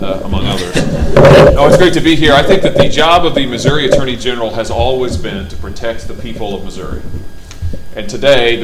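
A man, a political candidate, giving an emphatic, forceful campaign speech at a public forum. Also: lowish voice, a little hiss or hum, poor audio.

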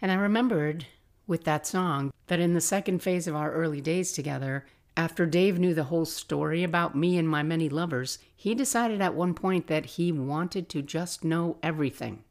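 An older woman hosting a podcast, telling personal stories in a casual, reflective, confiding and expressive way. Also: sits low, moderate pace. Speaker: podcast host.

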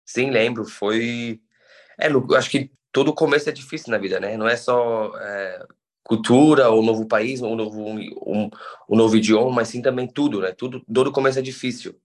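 A man's voice: medium pitch, moderate pace. A man in his thirties from São Paulo with a São Paulo accent, a guest who explains things patiently and often restarts his words. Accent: São Paulo Brazilian Portuguese. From Brazil. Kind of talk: conversational interview.

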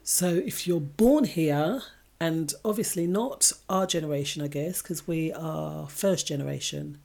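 A woman's voice: low voice, unhurried, vocal fry, darkish tone. A woman from England, co-hosting a casual discussion and speaking reflectively, addressing her listeners directly. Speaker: podcast co-host.

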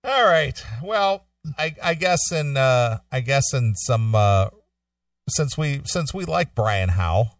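A man's voice: mid-range voice, lively intonation, takes it slowly, no room sound, far from the mic. A man co-hosting a casual talk show, reading out news items and commenting in an informal, joking way. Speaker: show co-host.

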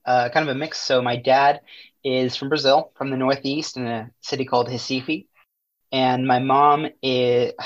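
A young man from the United States introducing himself as a panel guest, relaxed and easygoing. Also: medium pitch. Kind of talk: casual self-introduction. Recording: dull recording.

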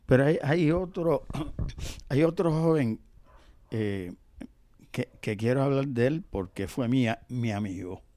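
An older Puerto Rican man, a professor being interviewed, telling history conversationally and haltingly, often pausing to search for words. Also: medium pitch, lively intonation, dark tone, no echo. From Puerto Rico. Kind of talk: conversational oral history storytelling.

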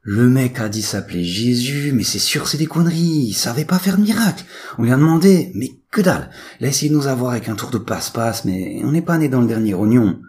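A man's voice: mid-range voice, lively intonation, a little fast, a little mellow, pitched above the performer's own voice, natural voice. A man voicing a dim, foul-mouthed young troublemaker, brash, slangy and indignant, in a comic audio story. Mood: aggrieved, boastful.

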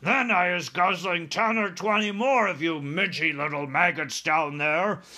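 A man's voice: sits high, bright, forceful tone. A man voicing a huge man-eating giant from a children's storybook, bullying and bellowing in broken grammar. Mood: gloating menace. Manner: bellowing, ungrammatical drawn-out speech.

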